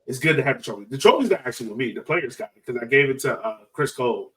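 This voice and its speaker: A man co-hosting a soccer fan podcast, sounding a little away from the microphone. He talks in an animated, slangy, exclamatory way at a middling pitch. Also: very fast, distant mic, poor audio. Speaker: sports podcast host. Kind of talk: casual fan chat about soccer.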